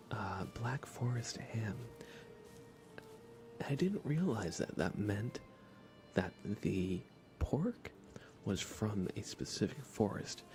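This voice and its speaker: A soft-spoken man rambling through relaxed ASMR live gaming commentary, musing aloud hesitantly and often slipping into a whisper. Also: mid-range voice. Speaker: livestream game streamer.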